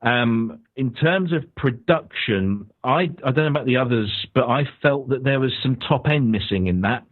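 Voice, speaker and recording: A man's voice: medium pitch, lively intonation, normal speed, few pauses. A man from the UK, a guest panelist giving a casual, rambling music review full of fillers and restarts. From United Kingdom. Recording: tinny phone-like line.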